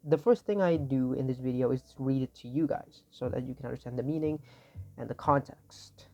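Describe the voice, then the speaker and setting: Tired raspy young Indonesian man. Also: mid-range voice, fairly quick, dark tone, lo-fi sound. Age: young adult. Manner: tired, rambling, self-correcting. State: raspy from no sleep. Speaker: student. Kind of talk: informal tutorial explanation.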